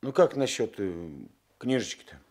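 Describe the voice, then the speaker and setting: An actor in a Soviet radio drama playing a guarded, plain-spoken working-class sailor, hesitant and evasive. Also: male voice, mid-range voice, lively intonation, moderate pace, darkish tone, lightly acted. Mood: evasive, uneasy.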